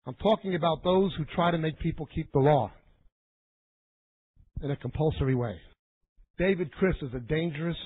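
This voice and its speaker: A man's voice: high-pitched, breathy edge. A middle-aged man teaching the Bible in an emphatic, didactic sermon style, repeating phrases for weight. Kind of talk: sermon-like teaching lecture to a live audience. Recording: narrow, phone-like band.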